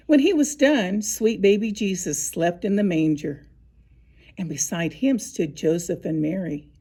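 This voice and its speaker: A woman narrating a scripted children's story, with expressive, deliberate phrasing and dramatic pauses. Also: fairly low pitch. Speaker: storybook reader.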